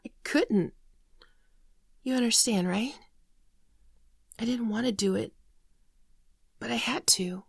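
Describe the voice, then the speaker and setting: Girl doing a sad gentle alien runaway for asmr, soft n near whispery, bit of fry, super dry close sound. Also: a little high, no echo, full character voice. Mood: pleading, remorseful. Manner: earnest, halting, confessional. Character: gentle female shapeshifter alien, wounded fugitive, sorrowful. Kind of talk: ASMR roleplay monologue, scripted.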